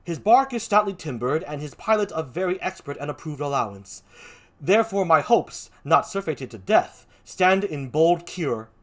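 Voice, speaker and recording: An earnest, courtly lieutenant from a Shakespeare play, put on by an amateur reader. The nobleman voice is affected and theatrical. Its pitch moves in broad, animated swings. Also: moderate pace, lightly acted. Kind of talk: theatrical Shakespeare read-aloud.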